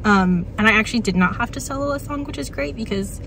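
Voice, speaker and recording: A young woman vlogs into a phone held at arm's length from a parked car. Her voice is hoarse and worn from a cold, and she sits a little high for a woman. She talks in a chatty, tired way, with quick asides. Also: heavy background noise. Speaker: vlogger and working actor. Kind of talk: casual vlog chat to camera.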